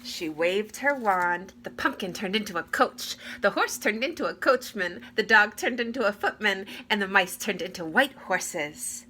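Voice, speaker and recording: A woman reading a fairy tale aloud to children with deliberate, expressive phrasing. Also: medium pitch. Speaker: read-aloud storyteller. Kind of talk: expressive children's story narration. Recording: clear background noise.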